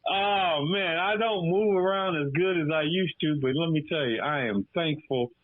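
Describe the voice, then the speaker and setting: A man's voice: on the high side, moderate pace, no echo. A man in his fifties from Detroit, chatting casually as a guest on a radio show and dropping "man" into nearly every sentence. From Detroit, Michigan. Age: 50s. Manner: laid-back, says "man" constantly. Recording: phone line.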